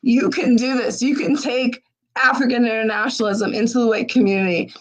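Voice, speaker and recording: A woman's voice: on the high side, fairly even intonation, fairly quick, crowd noise, poor audio. A woman hosting a livestream fundraiser, losing her voice, urging viewers with urgent, exhorting talk. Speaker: livestream host.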